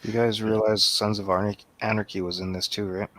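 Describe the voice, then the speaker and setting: Male panel guest, slightly low voice, casual conversational delivery. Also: little pitch movement, fairly quick, pushed and bright, no echo.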